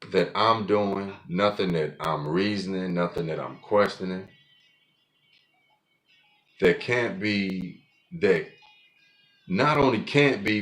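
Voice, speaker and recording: A man in his forties talking alone on his podcast in a blunt, profane, rambling way, often restarting his sentences. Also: lowish voice. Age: 40s. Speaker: podcast host. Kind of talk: casual monologue.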